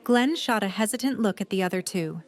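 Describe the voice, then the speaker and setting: A woman's voice: mid-range voice, brisk. A woman reading scripted third-person story narration for an audio drama.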